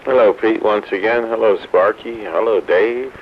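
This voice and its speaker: A man's voice: fairly low pitch, moderate pace. A man chatting casually as an operator on CB radio. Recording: noisy, muffled CB radio transmission.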